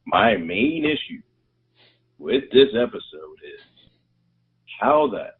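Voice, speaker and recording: A man chatting casually as a podcast co-host, animated and acting out scenes in quoted voices, with a husky voice. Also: on the low side, slow. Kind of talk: casual chat. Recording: tinny phone-like audio.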